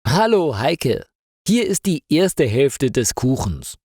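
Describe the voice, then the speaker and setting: A man's voice: medium pitch, big pitch swings, takes it slowly, darkish tone, husky, no room sound, studio quality, voice pushed up, exaggerated voice. A man narrating a story, voicing a polite, plain-spoken male bakery worker. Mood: friendly.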